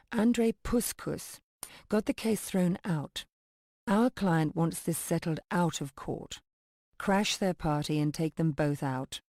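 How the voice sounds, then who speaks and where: A polished female intelligence handler from a video game. Her lowish voice is recorded close and dry, delivering formal, measured mission briefings with businesslike composure. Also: warm tone, dry recording.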